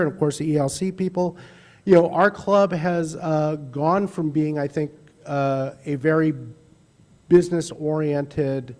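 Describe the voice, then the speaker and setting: A man's voice: fairly high pitch, dark tone. Chatty man answering club questions. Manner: chatty, self-deprecating. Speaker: club speaker taking questions. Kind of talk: Q&A at a club meeting.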